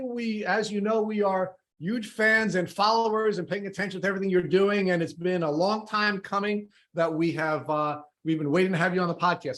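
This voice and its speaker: A man hosting a podcast, giving enthusiastic introductions and asking measured, deliberate questions with small "uh" pauses. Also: sits high, sharp tone. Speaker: podcast host.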